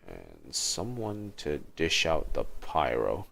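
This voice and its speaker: A man's voice: a bass voice, wildly swooping pitch, takes it slowly, creaky, fairly bright tone, a little hiss or hum. A man thinking aloud in casual livestream commentary as he plays a game. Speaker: game streamer.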